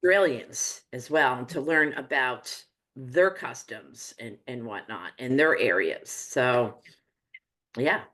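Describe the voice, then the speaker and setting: A woman with a New Jersey accent, speaking as a guest in a relaxed, rambling interview chat over a video call. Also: rumbling low, slow. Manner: relaxed, rambling, with many fillers. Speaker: medium and teacher.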